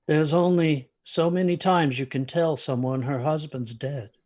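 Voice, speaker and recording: A man's voice: on the high side, steady tone, measured pace, darkish tone. A man acting an anxious, haunted narrator of a dramatic first-person ghost story, hesitant and brooding in his delivery. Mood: uneasy, brooding. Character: anxious haunted man recalling a stormy night.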